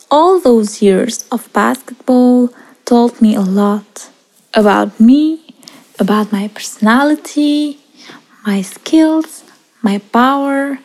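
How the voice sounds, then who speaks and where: Slow, earnest woman in her twenties. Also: on the high side, a little mellow, low-quality recording. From Iraq. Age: 20s. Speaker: podcast guest. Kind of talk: prepared personal story, read aloud.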